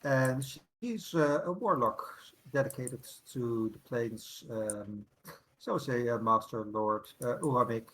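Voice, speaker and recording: A deep-voiced woman tabletop player chatting casually, hesitating over fillers and landing a wry line. A faint hiss of noise sits under her voice. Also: slow pace, fairly bright tone, low-quality recording. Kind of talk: casual chat on a voice call.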